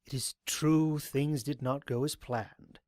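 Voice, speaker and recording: A man's voice: medium pitch, fairly even intonation, slow pace, warm tone, no echo, studio quality, lightly acted. A man playing a zealous, courteous pony cult leader in an audio drama, speaking in a formal, grandiose way. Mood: reverent, exultant.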